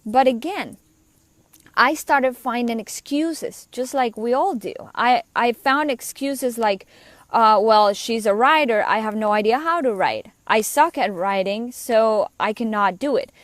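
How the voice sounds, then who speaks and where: A Colombian woman, a business coach with Spanish as her first language, telling her own story in an earnest, conversational way with quick asides. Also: a little high, no echo. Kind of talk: personal story monologue.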